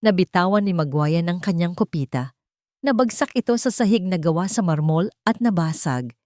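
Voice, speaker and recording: Female narrator, lower register, dry close sound, scripted dramatic storytelling. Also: animated, moderate pace, warm tone, no echo.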